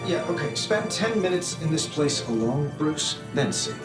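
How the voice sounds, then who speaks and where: A man's voice: on the high side, sing-song, normal speed. A man playing a cocky, flippant superhero in a video game, with glib, sarcastic delivery. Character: flippant, cocky male superhero, quick with quips. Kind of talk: video game cutscene acting. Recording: echoey, loud music over the voice.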